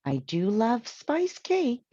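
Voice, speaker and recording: An earnest housekeeper from a children's storybook, acted out by a woman narrator, plain-spoken and eager to help. The voice is mellow and unhurried, with lively swings in pitch, recorded dry and slightly dull. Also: breathy edge, no room sound, heavily acted. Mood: busy, musing.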